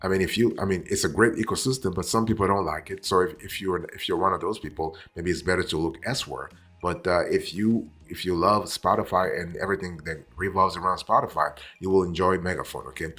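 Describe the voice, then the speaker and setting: A man giving an explanatory review as a host, deliberate in delivery, repeating phrases and checking in with the listener as he goes. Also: deep voice, a bit bright, slightly rough, soft background music. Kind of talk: explanatory software review, semi-scripted.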